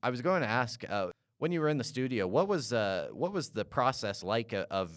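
A man from Canada hosting an interview and asking long, halting questions full of "uh"s and restarts. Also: medium pitch, sing-song, no room sound, clean studio sound. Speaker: podcast host.

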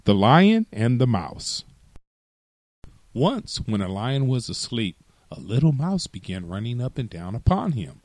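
Animated man narrating children's fables. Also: medium pitch, measured pace, no echo. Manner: expressive, addressing children. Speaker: storytelling podcast host.

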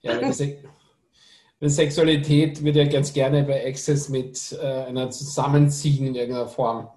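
A man's voice: mid-range voice, little pitch movement, normal speed, darkish tone. Host: man with a mid-range voice, explains patiently, with an echoey room sound. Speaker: podcast host. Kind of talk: casual podcast interview.

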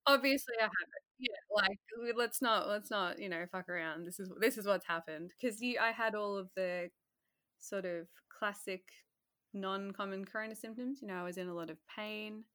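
A woman talks in a chatty, animated way and acts out little bits of what she said back then. Her voice sits at an ordinary middle pitch. The sound is dry and close, with no room echo. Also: normal speed. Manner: chatty and animated, acts out her own past remarks, swears casually. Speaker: guest, healthcare worker. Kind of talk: casual conversational interview.

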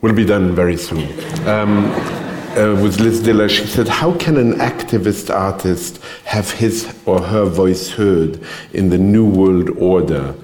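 A man with a very deep voice hosts a conversation on stage, moving briskly between questions and the images he shows. The hall gives his voice a long, echoing tail. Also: a little mellow, a little hiss or hum. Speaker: interviewer and host. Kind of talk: onstage interview. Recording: echoey hall.

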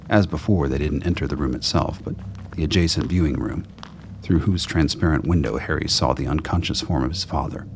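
A man's voice: extremely low pitch, noisy background. A man giving scripted narration for a radio drama.

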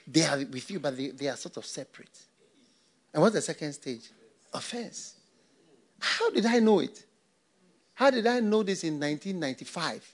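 An older man from Ghana, a pastor, preaching a sermon with repeated phrases and rhetorical questions. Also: a little high.

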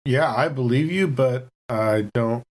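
A man's voice: medium pitch, measured pace. A man chatting casually as a player in a tabletop roleplaying game.

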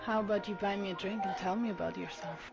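Loud music, man playing seductive barfly woman, high creaky voice, flirtatious, radio drama. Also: fairly even intonation, quick pace. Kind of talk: amateur radio drama acting. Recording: loud music, dull sound.

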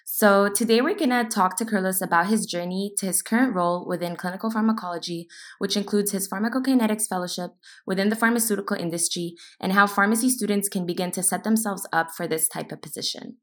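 A woman in her twenties co-hosts an interview podcast. Her voice sits in a middle pitch, and she sounds upbeat and organized as she reads a prepared intro before moving into questions. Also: quick pace. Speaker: podcast co-host.

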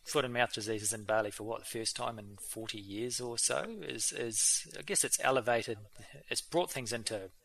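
A man's voice: mid-range voice, normal speed, vocal fry, few pauses, fairly bright tone, rough recording. A man hosting a vet podcast, chatting casually with many fillers and restarts. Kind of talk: casual interview chat.

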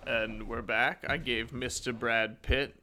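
A man playing a veteran male actor hosting a comedy chat, dry and deadpan. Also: medium pitch, slow, slight background noise. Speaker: host.

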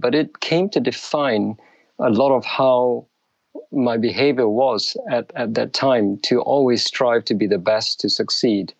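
A middle-aged man from Malaysia, telling his life story as an interview guest in a measured, thoughtful way. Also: medium pitch, creaky, a little mellow, dry recording, highs cut off. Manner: measured, thoughtful, with frequent restarts.

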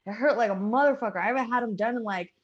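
A woman's voice: fairly high pitch, rapid-fire, muffled recording. A woman with an American accent chatting casually and animatedly on a video call, with the odd swear word. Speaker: podcast cast member. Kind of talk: casual chat.

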